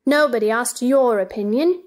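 A woman's voice: fairly high pitch, moderate pace, lightly acted. A woman playing a curious, polite but argumentative young girl in a stage play reading, prim and questioning. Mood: indignant.

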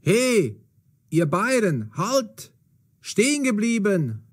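An actor playing a gruff, menacing pursuer in an audio drama. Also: male voice, high voice, sing-song, slow pace, exaggerated voice. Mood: angry, shouting. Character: gruff, menacing male pursuer; mocking bystander.

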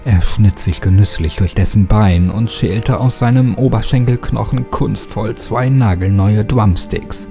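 A man's voice: on the low side, a little slow, hardly any pauses, mellow. A man giving a scripted, dramatic first-person narration of a horror story. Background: music.

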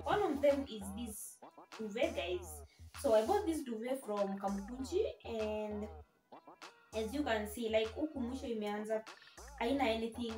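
A woman's voice: on the high side, warm tone. A young adult woman with a Kenyan accent, chatting casually as a vlogger. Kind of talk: casual vlog chat, mixing English and Swahili.

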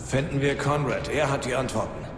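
An actor in a German game dub playing a terse, commanding, determined soldier who leads a squad. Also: male voice, medium pitch, a little fast, background noise, lightly acted. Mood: resolute. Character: determined male soldier, squad leader in war. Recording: very echoey, loud game music behind.

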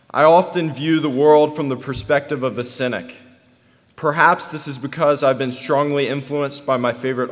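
Young man, late teens, American accent, medium pitch, deliberate commencement speech at podium, heavy hall echo. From North Carolina, United States. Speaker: student graduation speaker. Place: podium on a stage in a large hall. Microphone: podium microphone. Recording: echoey large hall, long reverb.

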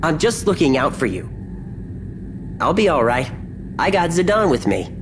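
An actor playing a friendly, earnest young warrior in a video game, eager and open. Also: male voice, fairly high pitch, sing-song, normal speed. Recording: game audio over ambient noise.

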